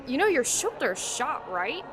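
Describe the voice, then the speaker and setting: A woman's voice: high voice, sing-song, a little slow, slight background noise, poor audio. A woman playing an outspoken, caring but skeptical young girl in an audio play. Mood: concerned. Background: music.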